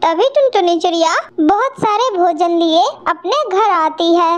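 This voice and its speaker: A woman's voice: very high voice, unhurried. A woman narrating a scripted cartoon story for children.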